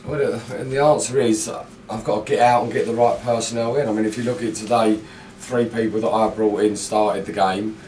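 A man's voice: on the low side, fairly even intonation, brisk, few pauses, darkish tone, far from the mic, slight background noise. A middle-aged man, a football manager, giving a blunt, frustrated post-match interview full of fillers in a small indoor room. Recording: echoey room, mic set back.

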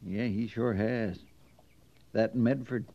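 An actor playing an insolent, needling jailbird army recruit with folksy, ungrammatical speech in an old-time radio western. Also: male voice, fairly low pitch, fairly even intonation, slow. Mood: resentful, needling. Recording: muffled, noisy old radio recording.

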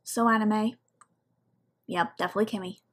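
A woman's voice: medium pitch, fairly even intonation, normal speed. A woman giving casual, rambling reaction commentary as she watches an animation. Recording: clear background noise with clicks.

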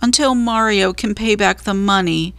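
A woman's voice: mid-range voice, normal speed, a bit bright, dry recording, lightly acted. A woman voicing an honest, resigned male cricket in a storybook, speaking plainly and earnestly.

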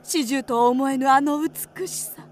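Woman playing a dreamy, slow-talking townswoman. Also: high voice, soft background music. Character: knowing, dreamy Kyoto townswoman gossiping about an idol. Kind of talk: Japanese period radio drama acting.

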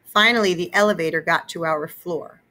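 A woman narrates a children's story expressively. Her voice sits a little low, with a bright, forward edge. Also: fairly quick. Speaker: read-aloud narrator.

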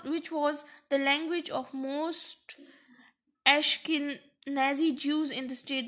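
A woman's voice: high-pitched, fairly bright tone. A woman giving a university lecture over slides, explaining step by step and often restarting or correcting herself. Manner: explains slowly, with frequent restarts and self-corrections.

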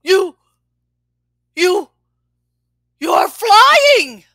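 A woman's voice: very high voice, sharp tone, dry recording, studio quality, pitched above the performer's own voice, full character voice. A woman voicing a skeptical, fussy, dramatic elephant with emphatic delivery in a children's storybook read-aloud. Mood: amazed. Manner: emphatic, deliberate, melodramatic.

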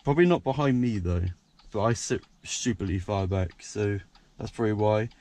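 A young man with a croaky voice, talking casually and rambling with lots of fillers while he films himself showing off his car. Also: lowish voice, lively intonation, normal speed, warm tone. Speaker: car vlogger. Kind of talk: casual point-of-view car walkaround. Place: parked car by a country lane, outdoors and inside the car. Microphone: action camera held by hand.

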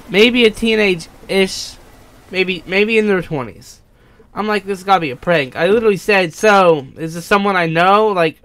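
A man's voice: high-pitched, a bit bright, no room sound. A young man with an American accent telling a personal story in a casual, rambling, sweary way. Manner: rambling, profane. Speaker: YouTube storyteller. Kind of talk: casual storytime over gameplay.

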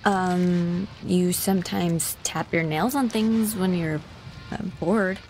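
Slow, shy, hesitant teenage girl. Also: fairly low pitch, darkish tone, soft background music. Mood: tentative. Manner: halting, tentative. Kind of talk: naturalistic video game voice acting.

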